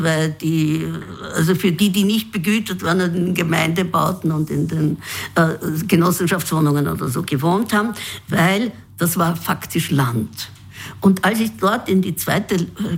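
A woman's voice: deep voice, husky. A woman from Vienna, a guest in an interview, recalling things in a searching way with frequent fillers. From Vienna, Austria. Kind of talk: reflective interview answer.

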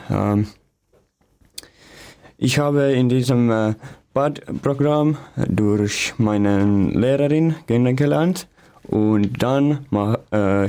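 A teenage boy from Finland with a Finnish accent, introducing himself in halting German full of fillers. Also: fairly low pitch, warm tone. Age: teen. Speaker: exchange student.

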